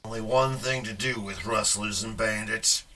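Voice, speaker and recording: A man's voice: fairly low pitch, fairly even intonation, moderate pace, bright, forceful tone. A man playing a blunt, aggrieved rancher in a comic-book Western audio drama. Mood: stern, demanding. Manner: blunt, demanding. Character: stern, aggrieved male rancher bent on justice.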